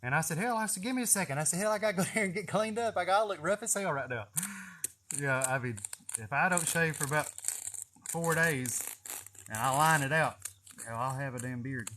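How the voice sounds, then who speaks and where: A man's voice: a little high, brisk, pushed and bright, slight background noise. A man with an East Tennessee Southern accent, rambling through folksy, profane stories in a casual livestream chat. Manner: folksy, profane, chuckling storyteller. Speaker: snake catcher hosting a live video. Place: greenhouse garden.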